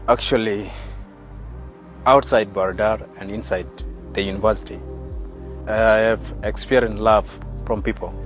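A man's voice: on the low side, fairly even intonation, measured pace, pauses often, faint music behind. A young man from South Sudan, deputy chair of a student union, speaking heartfeltly outdoors on campus with frequent fillers. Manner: warm, heartfelt, uses fillers. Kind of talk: interview answers.

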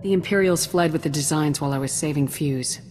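A woman playing a firm, dutiful young squad commander in a video game, crisp, formal and matter-of-fact. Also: low voice, brisk, a little mellow, slight background noise, lightly acted. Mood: brisk, dutiful. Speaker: soldier, lieutenant.

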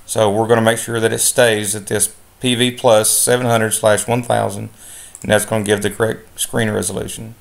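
Man, instructor, gravelly, relaxed, filler-heavy explaining. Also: on the low side, moderate pace. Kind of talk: screen-recorded software tutorial. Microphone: voice-over on a screen recording.

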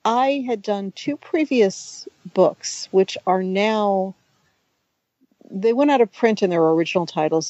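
A middle-aged woman, a native Hungarian speaker raised in the United States, talking about her writing in a relaxed, chatty interview with many restarts and asides. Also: lowish voice, no room sound, highs cut off. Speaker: author and guest.

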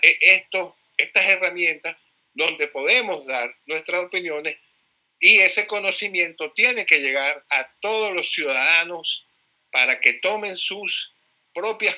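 A man's voice: on the high side, bright, forceful tone. A man calling into a live audio room, speaking solemnly and with some hesitation. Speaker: caller. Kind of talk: casual political talk.

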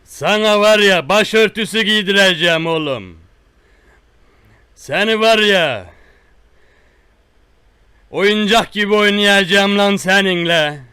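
Prank-call menace: man playing a boastful country tough guy, high bright voice, unhurried threats. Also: clear, resonant tone, no room sound, studio quality, heavily acted. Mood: menacing. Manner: slow drawn-out threats, repetitive. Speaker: prank caller. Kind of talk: one-sided prank call.

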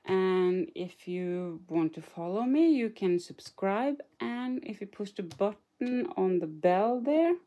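Slow, mellow Norwegian woman showing crafts. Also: on the low side, lo-fi sound. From Norway. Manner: chatty, hesitant, apologetic, giggles now and then. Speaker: craft channel host. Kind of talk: casual show-and-tell of a scrapbook album. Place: indoors at a craft table.

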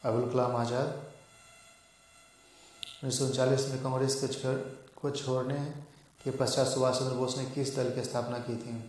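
A man tutoring for an exam, reading quiz questions and answers aloud in a halting way. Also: medium pitch, darkish tone, slight background noise. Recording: very echoey room.

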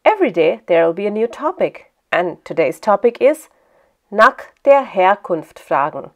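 A woman hosting a language lesson, reading a scripted narration in a clear, steady way. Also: mid-range voice, measured pace, lo-fi sound. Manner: clear, steady instructional delivery.

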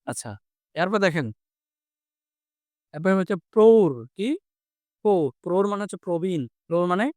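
Man, Bangladeshi Bengali accent, teacher, fairly high pitch, repetitive, questioning, conversational lesson delivery, dry recording. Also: expressive, normal speed, long pauses, a little mellow, no echo. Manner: conversational, repeats phrases and asks check questions. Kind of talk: interactive vocabulary lesson, explaining and quizzing.

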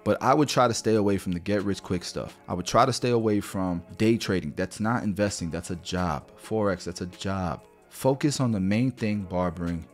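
A man's voice: fairly low pitch, normal speed, hardly any pauses, faint music behind. A man in his thirties giving a blunt, direct finance lesson to camera from a small home studio, speaking close to a podcast mic. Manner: direct, blunt, repeats "guys" and "right". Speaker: barber business mentor. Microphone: podcast mic near mouth.

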